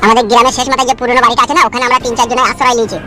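A woman's voice: on the high side, flat delivery, rapid-fire, bright, forceful tone, faint music behind. A woman voicing an earnest, pleading villager who plots in a comedy cartoon. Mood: conspiratorial.

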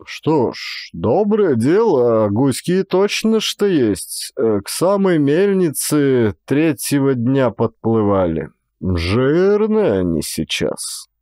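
A man voicing a sedate, dignified and mighty peasant in an audiobook, with a drawn-out, staid delivery. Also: mid-range voice, sing-song, darkish tone, no echo, studio quality. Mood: grave.

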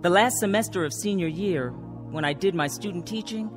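A woman's voice: on the low side, fairly quick, close to an everyday voice. An actress playing an earnest, determined young woman who recounts her student years in a radio drama. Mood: reflective. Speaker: narrator and lead actor. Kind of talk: radio drama acting and first-person narration. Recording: reverberant radio drama with music.

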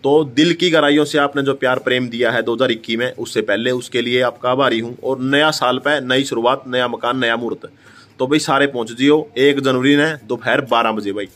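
A man's voice: medium pitch, fairly even intonation, brisk, fairly bright tone. A young man with a Haryanvi accent talks emphatically and casually to the camera as a vlogger, addressing his listeners like brothers. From Haryana, India. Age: young adult. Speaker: vlogger, host. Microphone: phone at arm's length.

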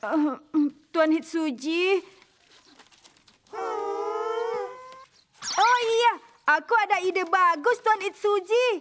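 A woman's voice: very high voice, expressive, takes it slowly, fairly bright tone, slight background noise, full character voice. A woman voicing a spoiled, boastful young raccoon-dog boy in a cartoon, showing off and sure of himself. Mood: excited. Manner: show-off, boastful, self-assured. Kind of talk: cartoon dub acting.

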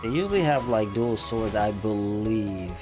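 A young man with a fairly low voice talks casually as a reaction host. His speech is laid-back and full of slang, with music playing behind him. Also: expressive, a little slow. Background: game trailer music.

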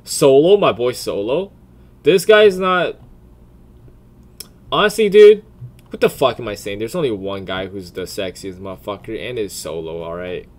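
A man giving casual off-the-cuff commentary in a crude, profane, blunt way, often deliberating out loud. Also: lowish voice, lively intonation, moderate pace. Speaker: video creator.

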